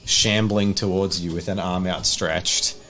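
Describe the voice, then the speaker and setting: A man's voice: low-pitched, fairly even intonation, a little slow, fairly bright tone, soft background music. A man working as game master, narrating a tabletop horror game in a casual, improvised descriptive way. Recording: clearly noisy background.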